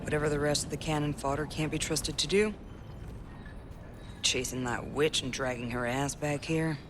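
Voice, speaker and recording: A man's voice: a little high, fairly even intonation, normal speed, background noise, natural voice. A man playing a cocky, foul-mouthed, cynical mercenary in a video game cutscene, with a brash sneering manner. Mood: bitter, sneering.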